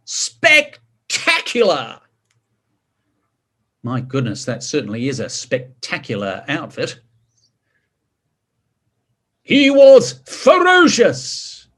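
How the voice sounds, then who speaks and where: Expressive man reading slowly, very lively pitch. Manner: expressive, drawn-out words, chatty questions to listeners. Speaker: story reader. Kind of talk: animated picture-book reading with asides to children.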